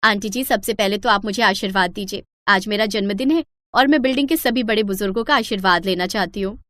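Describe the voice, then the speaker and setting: A woman's voice: mid-range voice, fast, fairly bright tone, no room sound, clean studio sound, natural voice. A woman voicing a polite young new bride who makes a show of virtue in a moral story. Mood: sweetly pious. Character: sweet, falsely pious young new bride. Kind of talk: acted moral-story dialogue.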